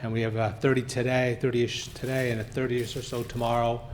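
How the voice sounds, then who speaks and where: A man speaking during public comment at a town board meeting, halting with many fillers. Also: medium pitch, flat delivery, slight background noise. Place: town hall meeting room.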